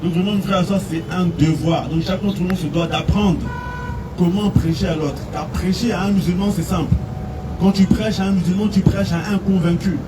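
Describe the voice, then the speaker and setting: Man from France, earnest street preacher, slightly high voice, distant mic with heavy street noise. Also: fairly quick. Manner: earnest, steady exhortation with Arabic phrases.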